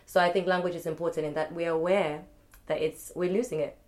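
Woman, co-host, casual chat, earnest, reassuring, very low voice. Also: steady tone, quick pace, darkish tone. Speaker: podcast co-host.

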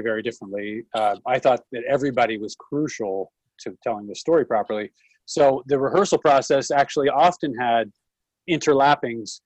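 A man who works as a theatre director, explaining in a casual panel discussion with hesitant pauses mid-sentence. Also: mid-range voice, no room sound, poor audio.

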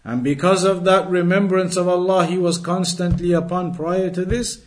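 A man giving an Islamic lesson as a religious teacher, reciting from a text and explaining it in a deliberate way, repeating phrases for emphasis. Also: high voice, steady tone.

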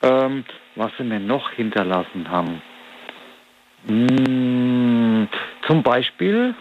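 A man's voice: medium pitch, lively intonation, slow pace, lo-fi sound, slight background noise. A man of about forty talking in a casual interview, reflective and rambling as he searches for his words. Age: 40s. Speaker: interview guest.

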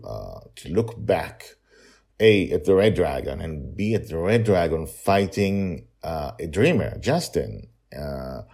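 An older man hosts and narrates a story podcast. His voice sits very low but moves around a lot, casual and halting between thoughts. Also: creaky, a little mellow. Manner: chatty, halting, with fillers.

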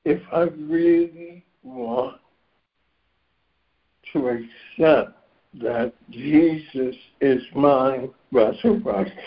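A hoarse man speaks very slowly and deliberately, his pitch sitting a bit high for a man. He keeps clearing his throat as he shares his spiritual reflections. The phone line sounds dull and lo-fi. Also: expressive. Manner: slow and measured, clears his throat often. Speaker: participant in a spiritual reading group call. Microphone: phone on a conference call. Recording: dull lo-fi conference call line.

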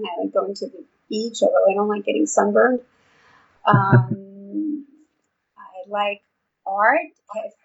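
An older woman with a New York accent, explaining her research in a relaxed interview with frequent ums. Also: medium pitch, creaky, breathy edge. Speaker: vestibular researcher and therapist. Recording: dull lo-fi audio.

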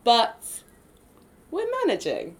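A woman with a nasal, projecting voice, chatting excitably as a podcast guest, full of fillers and quick laughs. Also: on the high side. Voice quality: loud, projecting voice. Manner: bubbly and excitable, laughs often, many fillers. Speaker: podcast guest, improv performer. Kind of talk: casual podcast interview.

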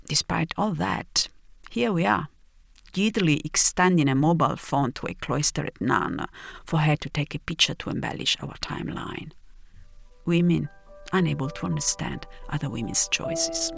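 A woman reading a literary first-person travel story, reflective and dramatic, with pauses between phrases. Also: low voice, lively intonation, vocal fry, fairly bright tone, breathy edge, faint music behind. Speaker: narrator.

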